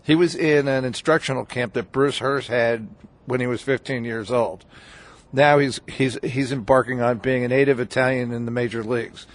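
A man's voice: medium pitch, steady tone, normal speed. A man speaking in an ordinary middle register, guesting on a radio talk show. He talks loosely and at length, often restarting his sentences and filling the gaps with "uh" and "you know.". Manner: rambling, many restarts and fillers. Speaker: baseball writer, guest. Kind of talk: casual radio interview chat.

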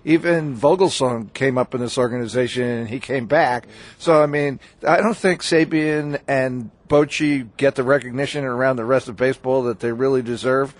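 A man's voice: medium pitch, fairly even intonation, normal speed. A man speaking in an ordinary middle register, guesting on a radio talk show. He talks loosely and at length, often restarting his sentences and filling the gaps with "uh" and "you know.". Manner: rambling, many restarts and fillers. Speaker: baseball writer, guest. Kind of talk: casual radio interview chat.

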